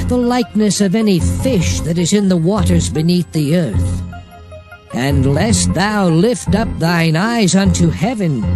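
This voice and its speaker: Casting: grave old male prophet for dramatized scripture, high-pitched for a man, widely swooping declamation. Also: exaggerated voice. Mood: warning. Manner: grave, deliberate, declamatory oratory. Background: music.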